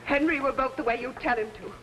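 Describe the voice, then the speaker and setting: An actress playing a bitter, jealous wife in a 1950s television melodrama. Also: female voice, sits high, fast, a bit bright. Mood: bitter, accusing. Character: women at a tense party in melodrama. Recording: noisy vintage TV soundtrack.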